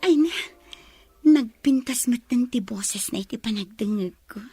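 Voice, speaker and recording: A young woman in a radio drama, easily charmed. Her high voice is polite, a little hesitant and friendly. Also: measured pace, warm tone, breathy edge, no room sound, faint music behind. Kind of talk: radio drama acting in Ilocano.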